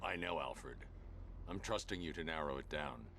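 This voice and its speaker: An actor playing a grim vigilante hero in a video game, terse, commanding and stoic. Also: male voice, rumbling low, normal speed, creaky, noisy background. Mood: stern. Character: grim, stern adult male vigilante hero. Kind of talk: video game cutscene acting.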